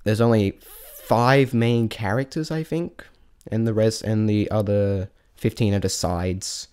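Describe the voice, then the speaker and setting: A man's voice: fairly low pitch, fairly even intonation, a little slow, darkish tone, clear, resonant tone. A man giving a casual, rambling spoken review, often restarting his sentences and stating blunt, informal opinions. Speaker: vlogger reviewing a stage show.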